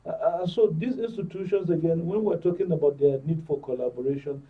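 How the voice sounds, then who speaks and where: A Nigerian man explains policy as a panel guest, often doubling his words. His voice is slightly high and rough-edged. It comes through thin and phone-like. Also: brisk. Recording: thin phone-like sound, distant microphone.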